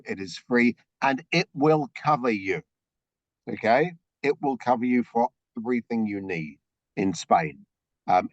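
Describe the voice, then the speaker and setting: Slightly croaky guy giving a group briefing on a call, lots of okays, dry and kinda muffled audio. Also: lowish voice, dry recording. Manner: explains step by step, checks in with frequent okays. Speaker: orientation presenter.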